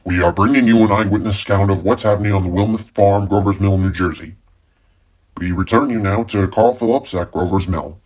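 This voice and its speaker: A man's voice: fairly low pitch, a little fast, darkish tone, voice pushed down, close to an everyday voice. A man playing a formal, composed studio announcer in a 1930s-style radio drama.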